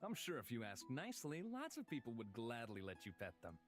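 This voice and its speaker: A man voicing an affable, teasing young man in a visual novel, with an expressive delivery. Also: mid-range voice, brisk. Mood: playful. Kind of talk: visual novel character reading. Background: music.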